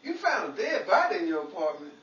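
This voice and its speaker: A man playing a blabbing, deducing detective in a 1940s-style radio comedy, hammy and a little halting. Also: sits high, very animated, highs cut off. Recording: roomy, distant recording with faint noise.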